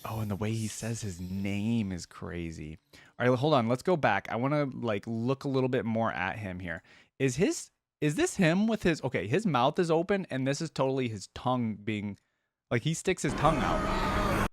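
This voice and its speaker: A man giving casual, informal reaction commentary, thinking out loud and easily excited. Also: medium pitch, normal speed, no room sound. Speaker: reactor and channel host. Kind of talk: reads story aloud dramatically.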